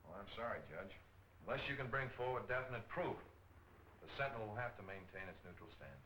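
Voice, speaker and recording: An actor playing a firm, courteous newspaper publisher in a 1940s film serial. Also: male voice, lowish voice, animated, quick pace, sharp tone. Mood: firm, polite. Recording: roomy, faintly noisy old film soundtrack.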